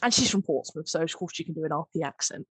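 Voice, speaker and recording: A woman's voice: low voice, animated, fast, breathy edge, dry recording. Effusive, giggly woman podcaster. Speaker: podcast host and audio drama editor. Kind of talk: casual chat about making audio drama.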